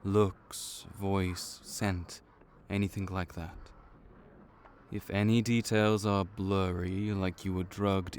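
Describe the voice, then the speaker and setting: A veteran vampire counselor, played by a man, works through paperwork and checkups in a calm, clinical manner. His voice sits fairly low, and he takes his time over every word. He sounds patient and bureaucratic, like a seasoned official in an audio roleplay. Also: slight background noise. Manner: measured, clinical, patiently reassuring.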